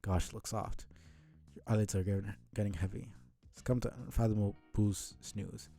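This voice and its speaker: A man streaming a casual read-aloud of a comic, with halting, muttered asides. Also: on the low side, flat delivery, creaky, mellow, soft background music. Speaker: streamer reading a webcomic aloud.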